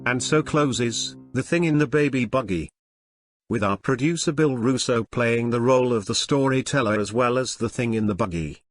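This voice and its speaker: A man's voice: medium pitch, moderate pace, faint music behind. A man announcing in a scripted style, as the announcer of an audio play.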